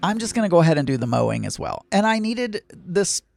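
A man's voice: high voice, sing-song, fairly quick, gravelly, a little mellow, soft background music. A man with a Southern accent chatting casually to viewers, rambling and often correcting himself mid-sentence. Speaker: vlogger. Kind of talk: casual chat.

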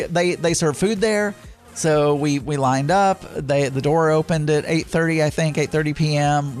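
A man with a Southern US accent telling a meandering, chatty story about his week, stopping often to correct himself and fill pauses. His voice sits a touch higher than most men's. Soft music plays faintly behind him. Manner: rambling, self-correcting storytelling with frequent fillers. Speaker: podcast host. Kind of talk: casual chat.

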